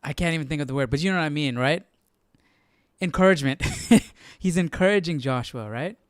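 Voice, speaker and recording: A man's voice: on the high side, brisk, clean tone, no echo, studio quality. A man hosting a livestream study session, commenting in a casual, informal way and often checking in with listeners. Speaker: host of a Bible study livestream.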